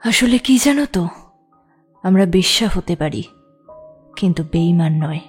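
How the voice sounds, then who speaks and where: A woman playing a wary, frank prostitute who confides her past in an audio story, sometimes sharp-tongued. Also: fairly low pitch, expressive, measured pace, faint music behind. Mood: wistful, confiding. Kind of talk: Bengali audio story acting.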